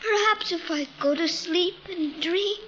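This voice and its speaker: A woman playing a tender, trusting young boy in an old-time radio drama, speaking in a halting, earnest way. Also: extremely high pitch, background noise. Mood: pleading, subdued. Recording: old radio broadcast, music behind.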